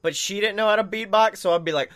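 A man's voice: high voice, fast, fairly bright tone. A man co-hosting a comedy podcast, chatting casually with boisterous, goofy riffing. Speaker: podcast co-host.

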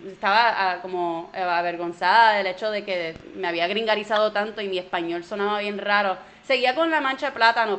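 A woman's voice: medium pitch, a bit bright. A woman with a Puerto Rican Spanish accent, a guest in a casual interview over a video call, who often restarts her sentences and mixes in English words.

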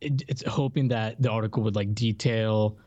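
A man co-hosting a podcast in casual conversation, somewhat halting and repeating words as he goes. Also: fairly low pitch, fairly even intonation, warm tone, no room sound, dull audio. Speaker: podcast co-host.

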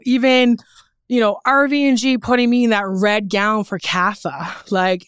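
A woman's voice: medium pitch, clean tone, no room sound. A woman from Newfoundland with a Canadian accent, chatting candidly and emphatically as an interview guest in a studio. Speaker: guest, fashion photographer and creative director. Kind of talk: casual chat interview.

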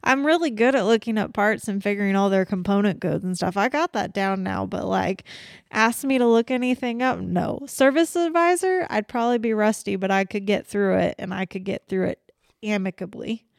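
A woman co-hosting a podcast in easy, casual chat, blunt and quick to swear and laugh. Her voice has a gravelly, creaky edge, and the sound is dry and close with no room echo. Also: mid-range voice. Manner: blunt, profane, laughs often. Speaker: podcast co-host.

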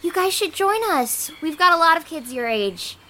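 A woman's voice: extremely high pitch, lively intonation, moderate pace, pushed and bright, a little hiss or hum, heavily acted. An actress playing a friendly young local woman in an audio drama, casual and chatty.

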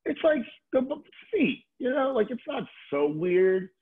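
A man's voice: very high-pitched, big pitch swings, measured pace, slightly rough, narrow, tinny audio. A middle-aged man talking casually as a podcast guest, telling stories in an informal, slangy way with swearing and plenty of filler words like "like" and "you know". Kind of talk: casual conversational storytelling.

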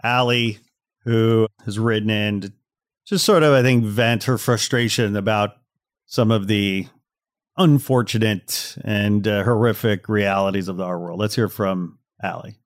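A man's voice: on the low side, measured pace, dry recording, studio quality. A man hosting a podcast in a casual, wry, conversational way, speaking straight to the listeners. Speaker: podcast host.

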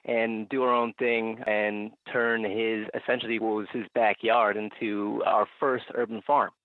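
A man's voice: on the low side, flat delivery, unhurried, no echo. A young man from Phoenix, a guest on a podcast, telling his story in an eager, upbeat way. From Phoenix, Arizona. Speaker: guest, urban farmer. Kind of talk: casual interview answers. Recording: tinny phone-line sound.

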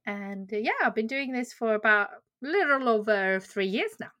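A woman's voice: on the high side, animated, normal speed, pushed and bright. A woman from Sweden, a guest on a podcast, chatting casually about her business with fillers and frequent laughs. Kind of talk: casual interview chat.

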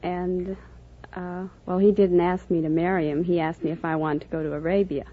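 A narrow, tinny old-time radio recording carries a woman's voice, rather low and husky. She gives unscripted answers as a quiz show contestant. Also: flat delivery, normal speed. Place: radio studio before a live audience.

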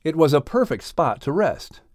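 American guy announcing and reading a kids story, fairly high voice, theatrical, super animated. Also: moderate pace, dry recording, studio quality. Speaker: narrator and podcast announcer. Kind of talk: scripted storytelling for children. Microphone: close studio microphone.

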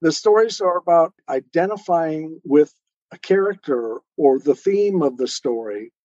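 Mellow-voiced man explaining, slightly dull sound. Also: fairly high pitch, no echo. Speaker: guest author, storyteller and podcaster. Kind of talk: conversational interview answers.

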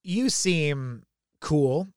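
A man's voice: fairly high pitch, lively intonation, extremely slow, darkish tone, no echo, studio quality. A man hosting a podcast interview, casual and friendly, with a slightly rambling way of talking. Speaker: podcast host.

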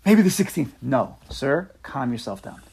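A man leading a casual religious class among friends, animated and joking, often repeating his words. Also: mid-range voice, moderate pace, gravelly, husky. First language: not Hebrew. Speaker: class leader. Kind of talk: casual Torah class chat.

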